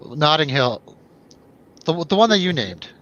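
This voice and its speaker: A man's voice: fairly high pitch, very animated, a little slow, sharp tone. A man hosting a casual film review show in a jokey, irreverent way. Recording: dull lo-fi, faint background noise.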